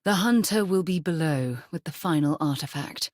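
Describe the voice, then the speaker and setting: A woman voicing a composed, principled female space explorer and former navigator in a video game, articulate and measured in her phrasing. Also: low-pitched, lively intonation, no echo, clean studio sound. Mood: composed, matter-of-fact. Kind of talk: acted game dialogue lines.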